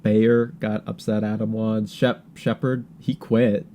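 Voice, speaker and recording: Low-pitched, mellow man talking politics casually. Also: flat delivery, slow. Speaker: podcast host.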